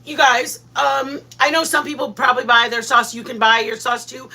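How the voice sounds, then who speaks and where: A woman hosting a casual live cooking stream from her home kitchen, excitable, rambling and quick to giggle. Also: sits high, breathy edge.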